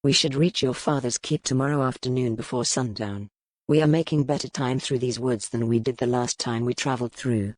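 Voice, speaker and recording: A man playing a war-weary, reserved medieval duke in a historical romance audiobook, with measured, formal speech. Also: mid-range voice, steady tone, no echo, lightly acted. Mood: guarded.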